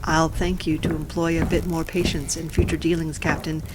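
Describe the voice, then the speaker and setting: A woman playing an authoritative, coolly persuasive occupation official in a science fiction audio drama, formal and measured. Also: sits low, flat delivery, very noisy, close to an everyday voice. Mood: curt, reproving.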